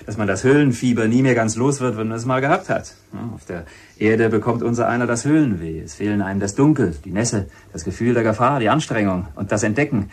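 Wistful veteran caver, lowish husky voice, radio drama. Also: male voice, quick pace. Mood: wistful, enthusiastic. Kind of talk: German radio play acting.

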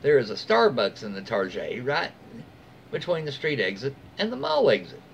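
A middle-aged American man reads aloud casually, like a host chatting with his followers. His mid-pitched voice moves up and down in a lively way, with informal, folksy asides. He is recorded at home with faint noise in the room. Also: moderate pace, gravelly. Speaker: host reading online stories aloud. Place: small room at home, indoors.

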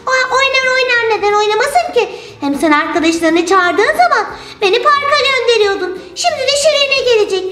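A woman's voice: extremely high pitch, expressive, fairly quick, few pauses. A woman voicing a cheeky, bubbly little girl in playful toy storytelling, with sing-song, exclaiming delivery. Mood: cheeky, bossy. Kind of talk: toy play storytelling for children. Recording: roomy echo, music underneath.

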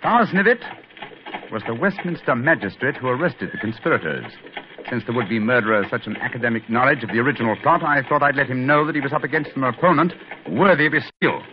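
An actor playing a brisk, commanding male detective, in a 1940s radio drama. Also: mid-range voice, lively intonation, lightly acted. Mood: explaining. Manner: crisp, clipped and authoritative. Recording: muffled old radio broadcast with faint hiss.

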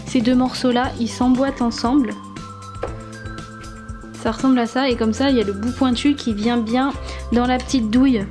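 A young woman with a somewhat high voice narrates a toy demonstration step by step. She speaks casually and unhurriedly, with small fillers as she thinks. Clear music plays underneath the voice-over. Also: moderate pace, long pauses. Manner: step-by-step explaining, relaxed with fillers. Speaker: toy review YouTuber.

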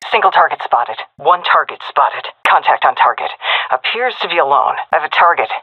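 A woman's voice: low voice, expressive, fairly quick, husky, dry recording. An actress playing a tough, cocky, foul-mouthed female navy commando commander in a video game, brash and sardonic with clipped military delivery through a radio filter. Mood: focused, terse. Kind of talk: game combat voice lines. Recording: narrow, phone-like radio comms.

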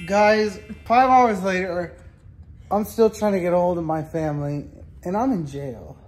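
A man's voice: sits high, unhurried, slight background noise. A young man chatting casually as a vlogger. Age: young adult. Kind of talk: casual vlog chat. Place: in a car, a restaurant and at home.

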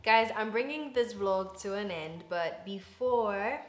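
A woman's voice: medium pitch, expressive, slow. A woman in her twenties from Ghana chatting casually to her viewers as a vlogger, in an affectionate, talkative manner. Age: 20s. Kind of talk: casual chat to camera. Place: rooms at home, indoors. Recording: echoey rooms at home, music bed.

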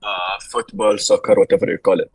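Low husky man contestant, guarded answers on a call. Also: fairly even intonation, brisk, no room sound. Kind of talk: casual chat on a voice call.

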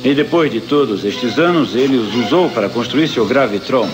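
A man's voice: medium pitch, animated, normal speed, music in the background, lightly acted. A man playing a kindly, earnest scientist who explains things, in a Brazilian cartoon dub. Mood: dismayed. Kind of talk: dubbed cartoon acting.

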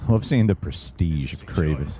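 A man with a very low voice talks through a reaction video on a headset mic, his pitch swinging widely as he gets excited. Game music plays clearly underneath. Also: moderate pace. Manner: enthusiastic, laughing asides. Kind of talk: casual reaction chat. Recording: game music playing behind.

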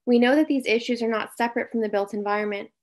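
A woman's voice: a little high, steady tone, fast talker. A young woman graduate student giving a prepared commencement speech over a video call, reading steadily from notes. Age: young adult.

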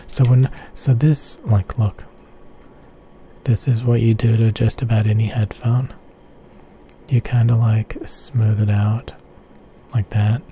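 A man talks through headphone measurements in an ASMR-like manner. He repeats his words and often sinks to a breathy whisper. His pitch sits low and his tone is very dark. Faint noise is heard behind him. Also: flat delivery, slow, long pauses. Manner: repeats phrases softly, often in unvoiced whispers. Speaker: headphone reviewer. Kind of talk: slow whispery ASMR-style tech explanation.

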